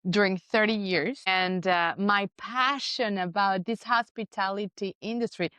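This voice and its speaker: A woman's voice: medium pitch, steady tone, unhurried, sharp tone, clean tone, no room sound. A middle-aged woman from Spain, a guest telling her career story with enthusiasm. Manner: enthusiastic, storytelling, non-native English phrasing. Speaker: guest, professional speaker. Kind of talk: conversational interview.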